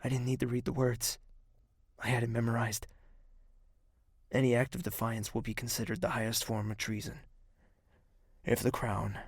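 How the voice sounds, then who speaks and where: An actor playing a weary, grieving young king in an audio drama, speaking in a measured, introspective, hushed way. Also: lowish voice, vocal fry, slightly rough, no room sound, clean studio sound. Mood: heavy, resolute. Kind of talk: dramatic first-person narration and dialogue in audio drama.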